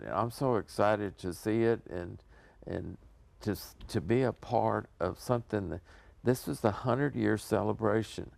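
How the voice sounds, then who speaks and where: An older American man speaking casually as an interview guest, in an earnest, halting, rambling way. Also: lowish voice, vocal fry, a little mellow, dry recording. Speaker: interview guest promoting a community theater show.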